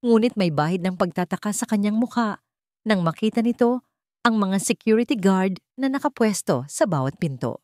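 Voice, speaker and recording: A woman narrating a scripted drama as a storyteller, with measured, dramatic phrasing and pauses. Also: medium pitch, lively intonation, darkish tone, no room sound, clean studio sound.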